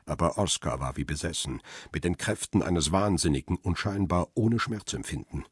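An actor playing a grim male photographer who narrates a crime radio drama in a measured, terse, husky voice. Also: low voice, lively intonation, no room sound, studio quality. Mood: grim, tense. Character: middle-aged male photographer Peter Lime, grim first-person narrator.

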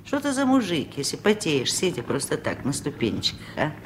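An older actress in a Soviet radio play, playing a wise, stubborn old village aunt with a wry, chiding manner. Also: female voice, low-pitched, wildly swooping pitch, fairly quick, a little hiss or hum.

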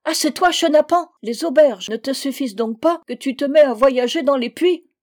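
A woman's voice: a little high, normal speed, dark tone, natural voice. Narrator as a feisty, emphatic village woman. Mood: indignant. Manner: exclaiming, scolding, emphatic. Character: devout, feisty village woman, indignant, bossy, scolding.

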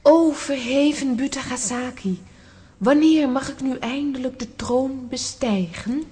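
High, husky, pleading princess. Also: female voice, unhurried, warm tone, exaggerated voice. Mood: awed, pleading. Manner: solemn, pleading, formal. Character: reverent, longing young princess addressing a sacred statue. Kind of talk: children's audio drama acting.

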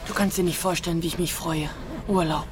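A woman's voice: on the low side, fairly even intonation, a little fast, natural voice. Actress playing a teasing woman, chatty radio drama acting, noisy background. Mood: happy, teasing. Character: teasing, animated woman looking forward to holiday.

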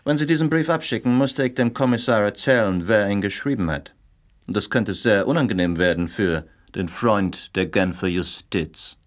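Casting male actor: blackmailing foreign correspondent for radio drama, low-pitched, suspicious, needling, sardonic menace. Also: moderate pace, close to an everyday voice. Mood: coolly threatening. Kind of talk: German radio drama acting.

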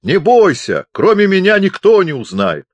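A man's voice: mid-range voice, big pitch swings, a little slow, no echo, highs cut off, pitched above the performer's own voice. A man voicing a kindly, oblivious old chaplain who is hard of hearing and bellows cheerfully in an audiobook. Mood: bellowing, encouraging. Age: older. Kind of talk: audiobook character voice.

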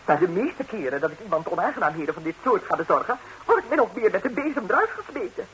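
An actress plays an impulsive busybody in a 1960s radio comedy. She is impertinent and theatrical, and her mid-pitched voice leaps and dives extravagantly with every exclamation. The old recording carries a faint hiss. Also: sing-song, quick pace, close to an everyday voice, low-quality recording. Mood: offended. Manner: exclamatory, indignant, dramatically swooping delivery.

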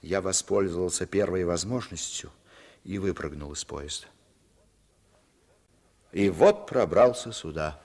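A man acting a German deserter on the run, telling his story and pleading in low, hushed tones in an old radio play. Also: unhurried, long pauses. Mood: tense. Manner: tense, hushed, dropping to whispers. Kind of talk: 1950s radio drama acting.

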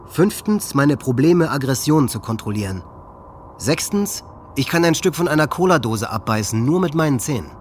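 A man's voice: mid-range voice, lively intonation, moderate pace, a little hiss or hum, lightly acted. A man playing a proud, troubled teenage boy who recites his own writings in a declamatory way in a radio drama. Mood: intense, proud. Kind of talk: dramatised reading in a radio docudrama.

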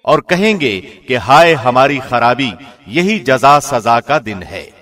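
A man reading a scripture translation formally, in the measured, solemn declamation of a radio presenter. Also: mid-range voice, expressive, fairly bright tone, soft background music.

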